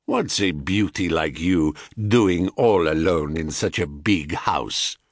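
A man voicing a forceful, lecherous rich stranger in an audiobook myth, smooth, insistent and full of flattery. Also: deep voice, slow pace, dry recording, clean studio sound, pitched below the performer's own voice, heavily acted. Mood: seductive, pressing.

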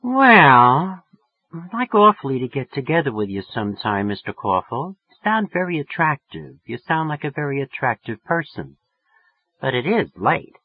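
A man's voice: medium pitch, sing-song, moderate pace, husky, narrow, tinny audio, pitched above the performer's own voice. A man voicing a brassy, slangy young woman in a story, with a raised, affected feminine voice. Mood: friendly, coy. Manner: sharp, slangy, turning affectedly genteel. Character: brassy, irritable young working woman, formerly burlesque, turning coy.

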